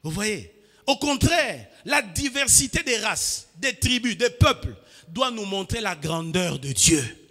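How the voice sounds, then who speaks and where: A man from DR Congo preaching a sermon, moving from quiet asides to shouted calls to the congregation. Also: sits high, sing-song, a little slow. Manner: fervent preaching with call-and-response, swinging between quiet asides and shouts. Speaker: pastor. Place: church. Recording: church hall reverb.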